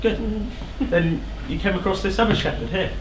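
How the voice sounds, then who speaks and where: A man's voice: sits high, animated, normal speed. A man playing a proud, wrathful king in a stage tragedy, imperious and declamatory as he demands the truth. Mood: commanding, probing. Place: indoor theatre stage. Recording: echoey theatre stage with loud noise.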